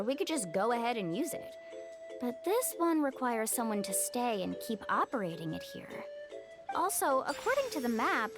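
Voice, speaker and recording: Bright-pitched upbeat young adventurer. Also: female voice. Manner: breezy, encouraging. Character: upbeat, confident, trusting young woman adventurer. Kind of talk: video game voice acting.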